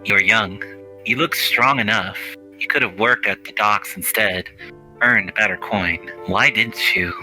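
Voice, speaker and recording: A man voicing a calm, kind, probing male scholar from a visual novel, formal and deliberate. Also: lowish voice, soft background music, voice pushed down, poor audio. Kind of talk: visual novel character reading.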